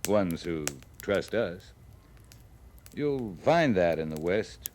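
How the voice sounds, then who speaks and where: An actor playing a terse, courteous cavalry captain in a 1950s radio western, on a noisy old recording. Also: male voice, sits low, animated, darkish tone. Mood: calm, courteous. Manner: measured, laconic, courteous. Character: steady, terse frontier cavalry captain, male.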